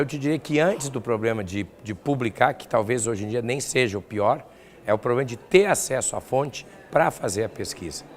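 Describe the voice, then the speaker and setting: An older man with a southern Brazilian accent speaks at a middle pitch as an academic being interviewed. He explains his points step by step, reaching for concrete examples. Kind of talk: spoken interview answers.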